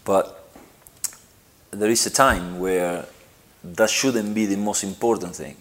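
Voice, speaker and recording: Argentine-born man, middle-aged, interviewee; lowish voice, halting, repeats himself. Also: fairly even intonation, a bit bright. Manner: halting, repeats phrases, frequent "you know". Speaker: interviewed footballer. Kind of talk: relaxed interview answers.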